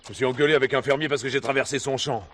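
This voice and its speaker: Brash amateur cowboy for radio drama male actor: indignant, bright and forceful, rapid-fire, slight background noise. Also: mid-range voice, steady tone, natural voice. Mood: defensive. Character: brash, indignant weekend cowboy who loves play-acting. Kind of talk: French radio play acting.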